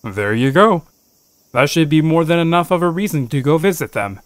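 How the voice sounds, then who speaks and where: A man's voice: fairly high pitch, lively intonation, normal speed, clear, resonant tone, no room sound, lightly acted. A man playing a nagging but sensible parent in a scripted audio drama. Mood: nagging, reasonable.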